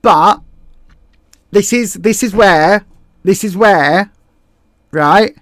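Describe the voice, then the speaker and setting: A woman's voice: mid-range voice, drawn-out words, no echo. A woman with a Southeast English accent chats casually on a livestream, rambling and correcting herself with plenty of fillers. Her voice comes across dry and close, with no room sound. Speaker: livestream host. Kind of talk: casual livestream chat, reading viewer comments.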